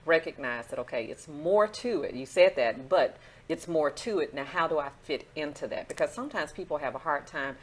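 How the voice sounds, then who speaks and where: A woman's voice: sits low, animated, a little fast, few pauses. A woman who is a pastor, hosting an interview and affirming her guest often. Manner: affirming, talkative, many "mm-hmm"s. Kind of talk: interview host.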